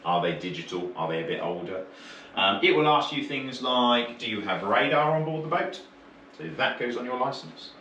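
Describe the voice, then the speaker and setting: A man's voice: mid-range voice, expressive, normal speed, sharp tone. A British man working as an instructor, teaching informally and explaining step by step with short checking questions. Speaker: marine radio course instructor. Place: indoor training room. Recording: roomy, distant mic, faint noise.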